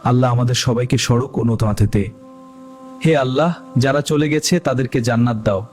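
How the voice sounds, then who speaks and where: A man's voice: medium pitch, moderate pace. A man reading a scripted, dramatic story as narrator. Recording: loud background music.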